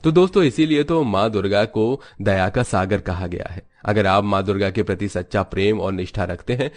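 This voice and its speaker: Guy narrating a moral story from a script, fairly low voice. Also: moderate pace.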